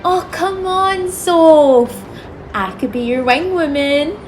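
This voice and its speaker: A woman's voice: sits high, lively intonation, slow pace. A young woman playing a defensive, teasing nursing student in casual audio drama banter. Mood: indignant, teasing. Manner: indignant, teasing. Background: music.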